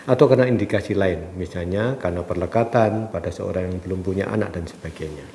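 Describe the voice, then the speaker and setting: A man speaking as a doctor who explains health questions from viewers in a calm, measured way, his voice congested from a cold and slightly nasal. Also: low voice. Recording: echoey room with faint background noise.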